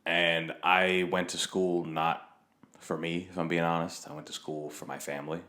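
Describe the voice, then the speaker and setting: A man's voice: low-pitched, little pitch movement, normal speed, pushed and bright. A young man hosting a podcast, talking bluntly and opinionatedly with plenty of swearing. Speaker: podcast host. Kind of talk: casual chat. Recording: echoey room.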